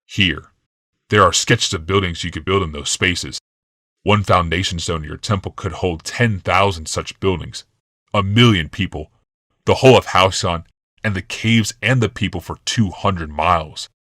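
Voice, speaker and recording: A man's voice: sits low, moderate pace, fairly bright tone, no echo, studio quality. A man voicing a smug, casually cruel genius in an audiobook, explaining in a taunting, casual way.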